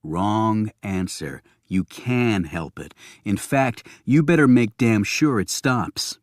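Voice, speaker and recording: A man's voice: on the low side, animated, slow, no echo, studio quality. A man voicing a blunt federal agent who talks firmly, in a thriller audiobook. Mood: stern. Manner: blunt, firm. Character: blunt male federal agent giving stern advice.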